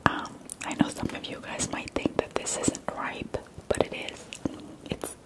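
Slow whispering woman, close binaural mic. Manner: soft unvoiced whisper, slow and chatty. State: stuffy whistling nose from allergies. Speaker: ASMR host. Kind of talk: whispered ASMR eating video. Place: indoors at a table.

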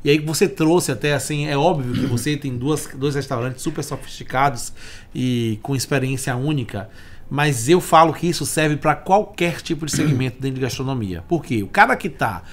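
A man's voice: mid-range voice, normal speed. A Brazilian man around forty hosting a conversational podcast, explaining points by asking and answering his own questions into a desk microphone. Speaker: podcast host, accountant. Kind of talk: conversational business podcast talk. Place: indoor meeting room with wood panelling. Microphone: desk microphone on stand.

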